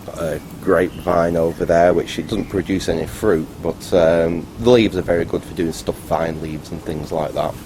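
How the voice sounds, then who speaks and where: A man giving a casual, unscripted, matter-of-fact video tour in a halting, rambling way. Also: low-pitched, steady tone, noisy background. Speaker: vlogger, hobbyist restorer.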